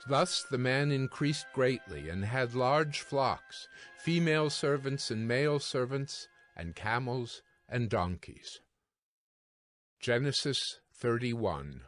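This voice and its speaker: Man, scripture narrator. Mid register, measured solemn storytelling over a clear music bed. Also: medium pitch, expressive, a bit bright.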